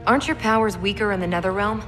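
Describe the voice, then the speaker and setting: A woman's voice: medium pitch, fast talker, music in the background, natural voice. A woman playing a brash, plucky young special forces soldier in a video game, informal and wry. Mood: wary, questioning. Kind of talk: video game cutscene acting.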